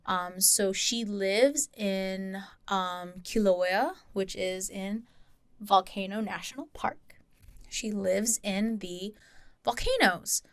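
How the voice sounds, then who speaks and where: A woman's voice: mid-range voice, slow pace, no echo. Woman telling a story casually, dry close sound. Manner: informal, giggly, self-interrupting asides. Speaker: podcast co-host and storyteller.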